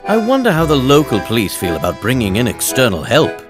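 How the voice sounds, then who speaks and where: Courteous, expressive gentleman professor. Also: medium pitch, over music. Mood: pondering. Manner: polite, measured. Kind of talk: animated game cutscene acting.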